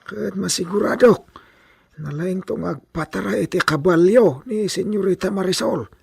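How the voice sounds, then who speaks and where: A man's voice: high-pitched, normal speed, creaky, husky, no echo, studio quality, full character voice. An actor with an Ilocano accent playing a humble, hesitant old herdsman in a radio drama. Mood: wistful, fond. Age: older.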